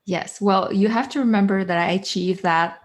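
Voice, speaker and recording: A woman's voice: medium pitch, moderate pace. Guest, thirties, female, Quebec French accent, earnest storyteller linking ideas with "so" and "like". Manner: earnest, chains thoughts with "so" and "like". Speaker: guest, author and coach. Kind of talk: conversational interview.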